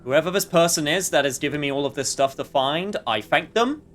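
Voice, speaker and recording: A man's voice: on the high side, animated, a little fast, a bit bright, soft background music. A man doing casual let's play commentary, thinking aloud and reacting wryly.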